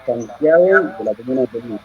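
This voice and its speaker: A man from Chile in his thirties, a guest in a live interview, talking in halting, self-correcting phrases. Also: medium pitch, expressive. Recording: dull lo-fi audio.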